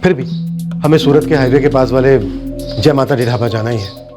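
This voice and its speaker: An actor playing a serious, deliberate senior police inspector in a TV crime drama. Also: male voice, mid-range voice, over music, lightly acted. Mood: firm. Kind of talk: TV crime drama acting and narration.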